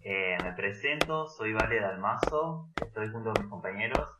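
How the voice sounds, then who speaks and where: A man's voice: on the low side, animated, normal speed. A teenage male student presenting a school radio show. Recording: very noisy, distant, echoey.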